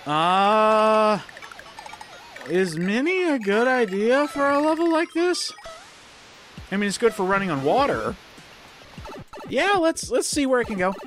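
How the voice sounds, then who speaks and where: A let's play host talking through a platform game in a loose, chatty way, voice gone a bit hoarse from a cold. The pitch sits in the middle range, and faint game music runs underneath. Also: sing-song, slow pace, pauses often, fairly bright tone. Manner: chatty, exclaims at game events. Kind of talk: casual gameplay commentary.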